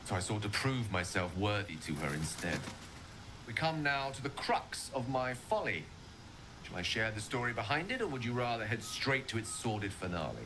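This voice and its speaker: An actor with an English accent playing an eloquent, rueful wizard in a fantasy game, telling his tale in flowery, dramatic phrases with measured pauses. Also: lowish voice, sing-song, noisy background. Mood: wry, confiding. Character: eloquent, rueful male wizard recounting his own folly. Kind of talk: theatrical storytelling in a fantasy game.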